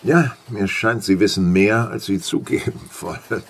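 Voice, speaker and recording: A male actor playing a polite, probing police inspector in a radio play, courteous and quietly insistent. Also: lowish voice, measured pace, a little hiss or hum.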